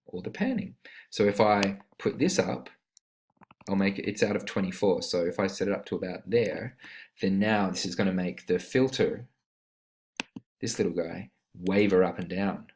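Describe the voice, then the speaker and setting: A man giving an informal, step-by-step screen-recorded tutorial on music production software, talking as an instructor. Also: lowish voice. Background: synth instrument notes played during the demonstration.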